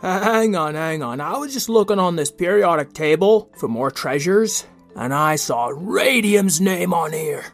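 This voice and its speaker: A man's voice: fairly high pitch, lively intonation, a little slow, hardly any pauses, soft background music. A man playing a cheeky, food-obsessed boy, a big brother, casual and slangy, in a children's audio drama. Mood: blurting, curious.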